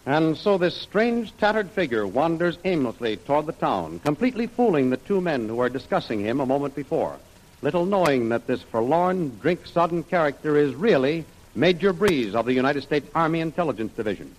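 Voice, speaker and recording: A man announces a 1940s-style radio adventure serial. His voice is pitched high for a man. The delivery is declamatory and dramatic, on an old radio recording. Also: big pitch swings, brisk, poor audio. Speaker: announcer and narrator.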